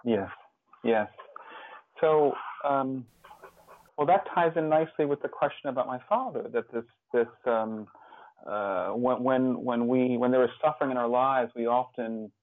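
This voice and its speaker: A man being interviewed as a guest, speaking reflectively and haltingly, often repeating words and restarting his sentences. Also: medium pitch, dry recording, phone-quality sound.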